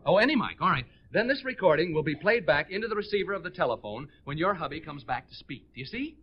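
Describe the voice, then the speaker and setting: An American man hosting a live 1940s radio game show with excitable, hurried patter from the stage. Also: fairly high pitch. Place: on stage before a studio audience. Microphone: stage microphone. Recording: dull 1940s radio recording.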